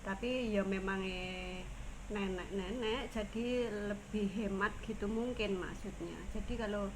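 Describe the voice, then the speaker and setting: A woman from Indonesia with a Javanese Indonesian accent, a caregiver teaching phrases in a casual lesson, saying each one slowly twice. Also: medium pitch. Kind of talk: casual language lesson vlog. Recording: noisy ambience.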